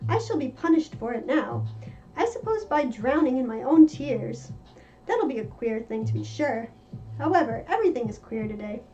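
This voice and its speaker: A woman's voice: high voice, very animated, moderate pace, dark tone. A woman voicing an anxious, bewildered little storybook girl in a dramatic, exclaiming manner. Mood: rueful. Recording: muffled lo-fi with music behind.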